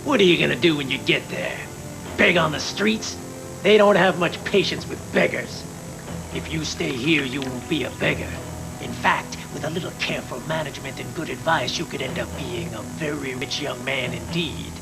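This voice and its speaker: A middle-aged anime crime boss, very gravelly and slightly high for a man. He coaxes and patronizes with smooth menace, over music on a worn VHS tape. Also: normal speed, low-quality recording. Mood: patronizing, pressing. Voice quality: gravelly, creaky. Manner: patronizing, persuasive, calls the younger one "son". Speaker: voice actor in dubbed anime.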